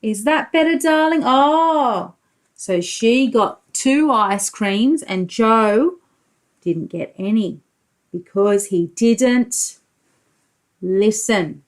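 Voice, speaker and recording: A woman's voice: medium pitch, extremely slow. A woman preschool teacher reading a picture book aloud to young children, explaining things and asking simple leading questions.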